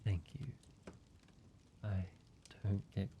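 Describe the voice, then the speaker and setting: A man playing a lonely, courteous, softly wry vampire in a cozy audio roleplay, speaking in a measured, intimate way with pauses. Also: lowish voice, flat delivery, muffled recording, a little hiss or hum. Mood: tender, vulnerable. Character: lonely, gentle, teasing male vampire, centuries-weary and courteous. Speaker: amateur voice actor. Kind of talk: cozy scripted audio roleplay, addressed to one listener.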